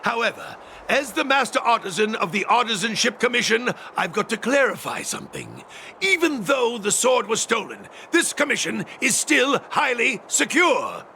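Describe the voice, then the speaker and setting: A fussy, self-important craftsman in a game. His high voice leaps about wildly, with a bright edge and a creaky rasp. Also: huge pitch swings, normal speed, a little hiss or hum, heavily acted. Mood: defensive. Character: fussy, defensive male master artisan. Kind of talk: video game dialogue acting.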